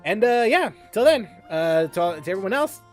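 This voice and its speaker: High-voiced man chatting on a gaming stream. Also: big pitch swings, faint music behind. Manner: halting, repeats and restarts phrases. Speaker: livestream host, gamer. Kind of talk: casual livestream chat while gaming.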